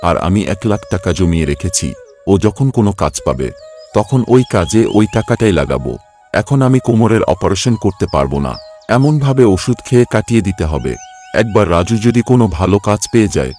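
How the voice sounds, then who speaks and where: A man's voice: fairly low pitch, a little mellow. A man playing an earnest, plaintive middle-aged labourer father in a cartoon story, worn down but devoted. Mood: worried, resigned. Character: weary, devoted village labourer father with back pain. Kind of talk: cartoon voice acting.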